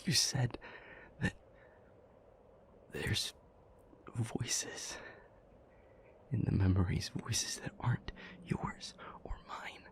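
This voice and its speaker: A man playing an intense, anguished demon in an intimate audio roleplay, speaking in breathy, halting, deliberate phrases. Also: on the low side, heavily acted. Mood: hushed, reasoning. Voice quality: breathy, mostly whispered. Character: intense, anguished male demon, brooding and reasoning aloud.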